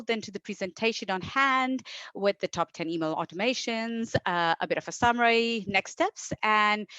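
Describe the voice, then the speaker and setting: A woman's voice: on the high side, fairly bright tone, dry recording. Female presenter from India, middle-aged, informal and keen conference delivery, close dry sound, slightly lo-fi. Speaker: marketing executive, guest presenter. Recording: dull, lo-fi online presentation audio.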